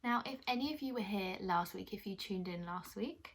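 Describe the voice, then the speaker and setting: A woman's voice: mid-range voice, animated, moderate pace, slight background noise. A woman hosting a children's puppet show and telling stories, speaking encouragingly and directly to young listeners. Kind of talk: children's presenting and storytelling.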